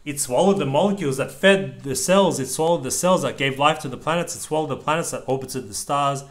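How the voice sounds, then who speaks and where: A man reading a children's picture book aloud to a small child, pausing to explain things in simple words. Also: a little high. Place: indoors at a table over the open book.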